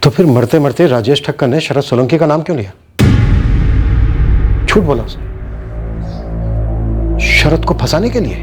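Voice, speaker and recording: An actor playing a serious, deliberate senior police inspector in a TV crime drama. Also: male voice, fairly high pitch, animated, over music, lightly acted. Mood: firm. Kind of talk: TV crime drama acting and narration.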